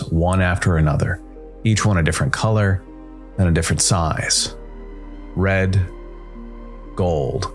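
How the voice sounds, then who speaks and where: A man reading scripted science fiction noir narration as a storyteller. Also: sits low. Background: faint music.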